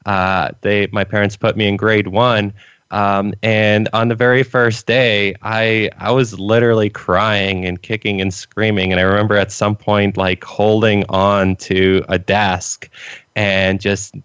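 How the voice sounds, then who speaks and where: A man with a North American accent, born in Poland and raised in Canada, answering interview questions in a relaxed way. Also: on the low side, measured pace, smooth, dry recording. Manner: relaxed, laughs now and then, fillers. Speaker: guest, freelancing coach. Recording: dry, dull lo-fi audio.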